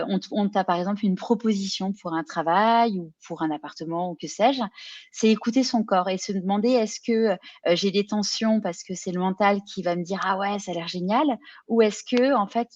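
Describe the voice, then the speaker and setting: A woman's voice: mid-range voice, moderate pace, a little mellow, no echo, highs cut off. A woman of forty from Paris, with an accent from France, telling her story in an interview in short, hesitant phrases. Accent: French from France. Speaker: podcast guest, career coach and entrepreneur. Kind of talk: personal account in a conversational interview.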